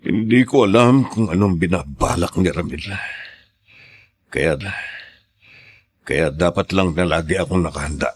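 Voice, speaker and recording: A man's voice: deep voice, a little slow, pauses often, slightly rough. A man with a Filipino accent playing a scheming, hot-tempered politician in a radio drama, emphatic and theatrical. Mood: brooding, wary.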